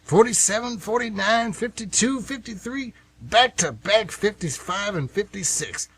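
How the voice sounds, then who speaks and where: A man's voice: sits high, lively intonation, measured pace, a bit bright, husky, dry recording, full character voice. A man playing a folksy, nostalgic older baseball fan who rambles emphatically in an amateur radio play. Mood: enthusiastic, rambling.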